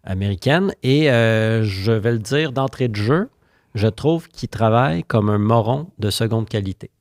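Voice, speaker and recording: A man's voice: lowish voice, animated, unhurried, darkish tone, no room sound, studio quality. A man with a Quebec French accent hosting a casual humorous podcast, speaking in an informal, joking and hesitant way. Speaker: podcast host.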